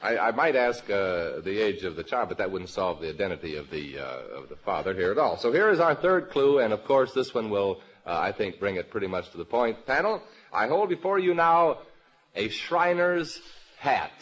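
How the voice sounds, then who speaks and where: A dull, lo-fi old radio recording of an American man hosting a panel quiz show. His pitch swings in an animated way as he presents with deliberate, announcer-like phrasing. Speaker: game show host.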